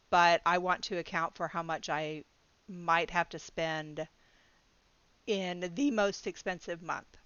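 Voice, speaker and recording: A middle-aged woman in a chatty, rambling vlog, her voice a little low and smooth. She laughs easily and keeps breaking off with ums and restarts. The sound is dry and close, with a slightly dull, lo-fi quality. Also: a bit bright, dry recording. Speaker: budgeting vlogger. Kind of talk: casual talk to camera.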